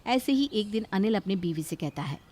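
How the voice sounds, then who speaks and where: A woman's voice: medium pitch, animated, a little fast, darkish tone. A woman reading scripted narration for a cartoon moral story as its storyteller.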